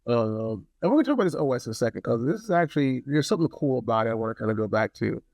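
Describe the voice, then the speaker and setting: A man with a low-pitched, creaky voice talks through a casual hands-on product review. His delivery is conversational, and he repeats phrases to drive points home. The sound is dry and close. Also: animated, very fast, darkish tone, no echo.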